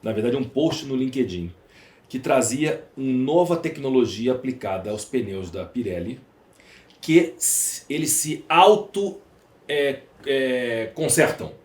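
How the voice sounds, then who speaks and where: A man's voice: mid-range voice, animated, slow pace. A Brazilian man speaking as a podcast guest, emphatic and rhetorical, building his points through lists and questions. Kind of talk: conversational interview monologue. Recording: echoey room, faint background noise.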